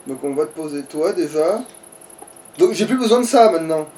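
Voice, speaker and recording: A man's voice: a little high, lively intonation, normal speed, mellow, distant mic. A man chatting casually as he plays a game, crude and joking, with plenty of swearing. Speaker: let's player. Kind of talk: casual gaming commentary with a friend.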